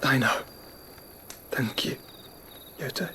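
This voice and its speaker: An actor playing an ailing, earnest nobleman in a game, with a measured, formal, grave delivery. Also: medium pitch, bright, forceful tone, a little hiss or hum. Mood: weary. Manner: measured, solemn, formal, breathy sighs. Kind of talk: dramatic game voice acting. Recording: music behind the voice.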